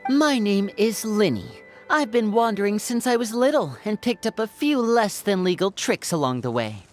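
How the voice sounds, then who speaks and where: A sly young trickster, voiced by a woman, talks in a mid-pitched, animated voice. The anime game delivery is smooth and self-assured, over faint music. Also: normal speed, lightly acted. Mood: confident, playful.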